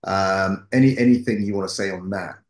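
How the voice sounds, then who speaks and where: Low-voiced male host in an echoey room. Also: fairly quick. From United Kingdom, resident. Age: middle-aged. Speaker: show host, interviewer. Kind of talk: interview questioning. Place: small home office, indoors. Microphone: video call. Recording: roomy, echoing video-call audio.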